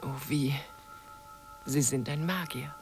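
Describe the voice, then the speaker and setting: An actress playing a yearning, flirtatious portrait sitter in a radio play, intimate and expressive. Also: female voice, very deep voice, slow pace, over music, exaggerated voice. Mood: awed. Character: yearning, flirtatious female portrait sitter, awed by her painter. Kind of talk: German radio play acting.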